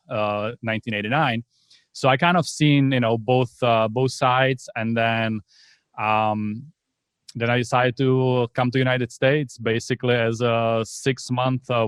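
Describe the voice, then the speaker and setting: A man in his forties with a Czech accent, telling his story in a relaxed, casual way as a podcast guest. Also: medium pitch, clean tone, no echo. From Czech Republic. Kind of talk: conversational storytelling.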